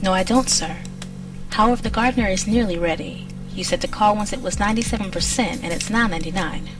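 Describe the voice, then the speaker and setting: Heard at a distance beneath clear music, a loyal assistant, played by a woman reports to her boss. Her voice is mid-pitched and exasperated, in the manner of an animated audio drama. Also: fast talker, close to an everyday voice. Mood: dry, dutiful. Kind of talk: scripted fan audio drama.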